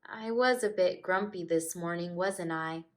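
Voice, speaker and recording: A woman's voice: lowish voice, measured pace, close to an everyday voice. A woman voicing a grumpy, stubborn young female animal in a children's storybook, with emphatic delivery. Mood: sheepish.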